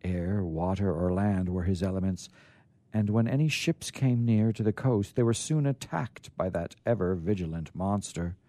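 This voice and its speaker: Low, mellow-voiced man hosting and reading tales. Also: animated, normal speed, no echo. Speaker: radio show host. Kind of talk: storybook reading and casual hosting chat.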